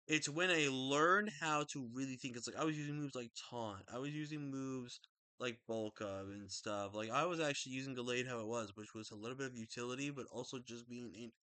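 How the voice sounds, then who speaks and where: Rambly excitable young man. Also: medium pitch, brisk, clean tone, no echo. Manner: rambling, self-correcting, excitable. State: slightly raspy throat. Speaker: draft league player. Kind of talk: casual video commentary.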